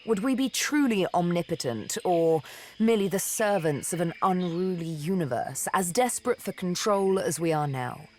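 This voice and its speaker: A woman playing a seductive, vengeful elven assassin and former slave in a video game, speaking in a deliberate, theatrical, teasing manner. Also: lowish voice, lively intonation, darkish tone, a little hiss or hum, close to an everyday voice. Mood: musing, uneasy. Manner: deliberate, teasing, dramatic storytelling with pauses. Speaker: video game companion character.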